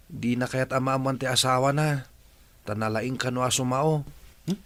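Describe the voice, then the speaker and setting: Actor as an earnest young employee, mid-pitched. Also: male voice, moderate pace, fairly bright tone, lightly acted. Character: earnest, helpful young man working for a boss. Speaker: radio drama actor. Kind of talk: radio drama acting.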